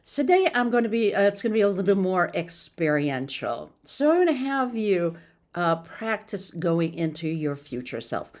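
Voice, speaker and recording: A woman's voice: fairly low pitch, big pitch swings, normal speed. A woman giving an informal, encouraging motivational talk as a success coach, sometimes stumbling over her words.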